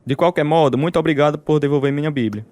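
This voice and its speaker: An actor with a Brazilian accent playing a devout, steadfast prisoner who tells his story in measured, earnest radio drama style. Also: fairly high pitch, darkish tone, dry recording, close to an everyday voice. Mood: firm, devout. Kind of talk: dramatized first-person narration, radio drama.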